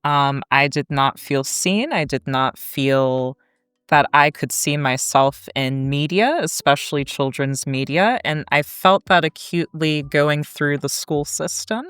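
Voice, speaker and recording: A woman in her thirties with an American accent speaks in a low, exceptionally smooth and resonant voice. She takes her time, explaining things in a reflective, articulate storytelling manner, as a scholar being interviewed. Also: a little slow, a bit bright, no room sound. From Kansas, United States. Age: 30s. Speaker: academic guest, historian.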